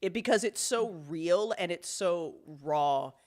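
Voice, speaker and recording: Animated, sweary woman chatting. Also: lowish voice, a little slow. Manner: profane, emphatic, gushing. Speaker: audio drama director. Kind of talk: casual panel chat.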